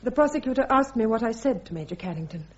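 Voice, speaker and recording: Female, actress as earnest army captain in the witness box, highish voice, brisk and precise, dull radio recording. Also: expressive, darkish tone, lightly acted. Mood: earnest, formal. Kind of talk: radio drama acting.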